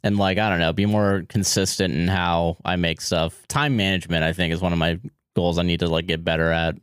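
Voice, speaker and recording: A man's voice: deep voice, little pitch movement, a little fast, smooth, no echo, clean studio sound. A man in his twenties co-hosting a podcast, joking and laughing in casual chat. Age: 20s. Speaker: podcast co-host.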